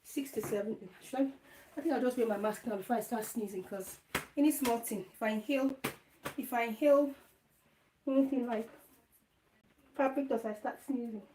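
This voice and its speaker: Hoarse woman chatting while she sews. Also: a little high, moderate pace, dark tone. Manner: chatty, talks to herself while working. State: hoarse, lost voice. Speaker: sewing vlogger livestreaming. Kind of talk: casual live chat while sewing. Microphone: phone or camera set up nearby. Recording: echoey room with faint noise.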